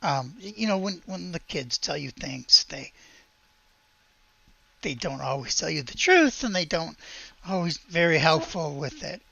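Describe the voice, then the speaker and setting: A man voicing a worried, weary woman who runs an orphanage, speaking in a hesitant, halting, subdued way. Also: fairly high pitch, sing-song, creaky, pushed and bright, no echo, lo-fi sound, exaggerated voice.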